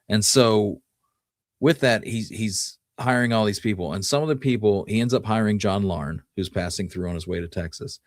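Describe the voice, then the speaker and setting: Man, low-pitched, podcast host, casual history narration, detailed explaining, dry studio-like sound. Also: no room sound.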